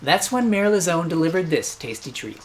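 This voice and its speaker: A man's voice: fairly high pitch, big pitch swings, normal speed. An American man hosting a satirical news show, delivering scripted comedic commentary in a sarcastic, mock-incredulous way.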